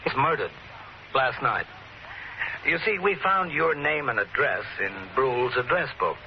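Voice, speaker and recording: Police lieutenant for 1940s-type radio mystery: shrewd, needling, casual on top, very expressive, on a dull noisy recording. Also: male voice, mid-range voice, unhurried. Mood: probing. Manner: offhand, probing, needling. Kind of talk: old-time radio mystery acting.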